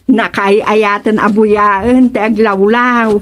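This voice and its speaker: A woman's voice: medium pitch, fairly even intonation, takes it slowly, close to an everyday voice. A woman with an Ilocano accent playing an eager village councilor in a radio comedy-drama, speaking in a keen, lilting, deferential way. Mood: curious. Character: eager female village councilor, proud of her gardens.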